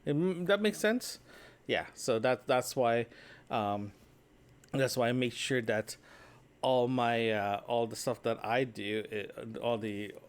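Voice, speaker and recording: A man's voice: medium pitch, slow pace, clear, resonant tone. A man talking casually and conversationally in a solo audio diary, meandering as he goes and often checking in with a tag question. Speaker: solo podcast host and vlogger.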